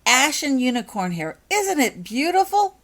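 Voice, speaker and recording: An excitable young schoolgirl witch, played by a woman reader, high and loud. She is impatient, gushing and bubbly, in an emphatic storybook style. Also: unhurried, full character voice. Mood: excited, gushing. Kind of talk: audiobook character voice.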